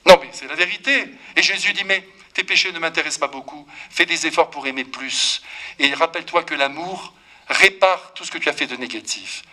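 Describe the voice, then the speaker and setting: Un prêtre d'une cinquantaine d'années prêche un sermon avec vivacité, taquine l'assemblée et s'adresse directement à elle. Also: male voice, on the high side, brisk. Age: 50s. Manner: lively, teasing, talks straight to the congregation. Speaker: priest.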